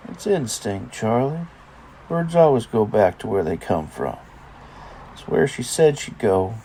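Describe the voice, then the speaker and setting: A man's voice: medium pitch, lively intonation, measured pace, vocal fry, warm tone, a little hiss or hum, poor audio. An actor playing a weary middle-aged father in an audio drama, terse but protective and reassuring. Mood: firm.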